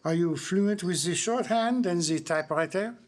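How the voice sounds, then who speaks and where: An actor playing a courtly, self-satisfied Belgian detective with a French-speaking accent, explaining precisely in a theatrical live mystery drama. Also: male voice, sits high, moderate pace, close to an everyday voice. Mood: courtly, wry. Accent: French-speaking Belgian, put on. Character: Belgian detective, courtly, pompous, confident man. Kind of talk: live radio-style mystery drama performance. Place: public library live stage before an audience.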